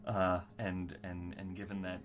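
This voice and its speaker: An actor playing a flustered, pedantic scientist in an audio drama, stammering and halting through formal, evasive wording. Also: male voice, sits low, monotone, faint music behind. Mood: nervous, evasive.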